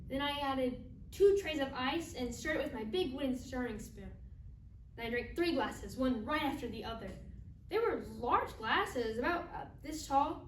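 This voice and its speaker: A woman performing a stage monologue as a naive wife who recounts a shooting in a chatty, oddly matter-of-fact way. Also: sits high. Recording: roomy, distant mic, faint noise.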